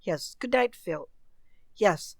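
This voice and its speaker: An impatient wife from a radio mystery, played by a woman. She questions and scolds with suspicion in a medium-pitched voice, recorded dry. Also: lively intonation, takes it slowly, no room sound. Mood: puzzled, anxious. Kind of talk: old radio mystery acting.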